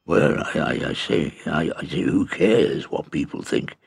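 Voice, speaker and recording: A male reader puts on a stuffy old professor turned into a tiny bug, all bluster and stammer. His voice leaps high and dances around, with a gravelly edge underneath. He is prim, excitable and easily offended, in the manner of a storybook audiobook. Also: a little slow, heavily acted. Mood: excited. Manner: pompous, stammering, indignant. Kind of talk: audiobook character reading.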